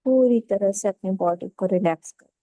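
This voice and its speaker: A woman leading a guided meditation, giving calm, unhurried instructions in short phrases with pauses. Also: medium pitch, dry recording.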